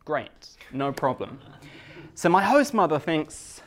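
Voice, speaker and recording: British male guest speaker, unhurried, plain words, self-mocking humor. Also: on the high side, sing-song. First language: English. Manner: simple slow English with self-mocking jokes. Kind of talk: live talk to a Japanese audience.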